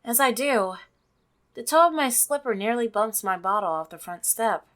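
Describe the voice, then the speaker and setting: A woman's voice: fairly high pitch, lively intonation, moderate pace, a bit bright. A woman voicing a polite, inquisitive young female neighbor who narrates a visual novel. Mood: puzzled.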